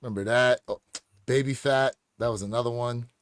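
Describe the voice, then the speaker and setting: A man's voice: medium pitch, fairly even intonation, a little fast, no echo, studio quality. An American man hosting a casual livestream chat in a conversational, slangy way. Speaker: podcast host.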